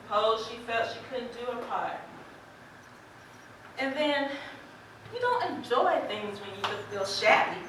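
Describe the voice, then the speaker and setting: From a very echoey stage with faint noise comes a high, bright farm wife, acted by a woman. She is plain-spoken and folksy, sympathetic and quietly defiant. Her delivery is deliberate, pitched for a live amateur play. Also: far from the mic, full character voice. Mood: wistful. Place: indoor theater stage, distant microphone.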